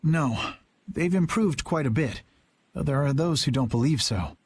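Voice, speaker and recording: A man's voice: a little high, animated, a little slow, breathy edge. A man voicing a principled, earnest young knight in an anime game dub, formal and resolute. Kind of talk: English-dubbed anime game voice acting. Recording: music under the voice. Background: music.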